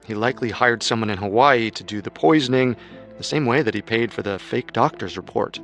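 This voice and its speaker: A man narrating a true-crime radio story in a measured, skeptical way over soft music. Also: medium pitch. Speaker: narrator and co-host.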